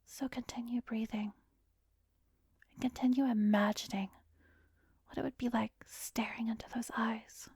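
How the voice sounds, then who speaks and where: Breathy whispering woman playing a slow, soothing hypnotist therapist. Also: a little high, steady tone, a little mellow, dry recording, studio quality, heavily acted. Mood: coaxing, intimate. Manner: slow, soothing, measured guiding with pauses. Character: calm, coaxing female therapist, secretly a manipulative vampiress. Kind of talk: whispered ASMR hypnosis roleplay. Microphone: close microphone, dry recording.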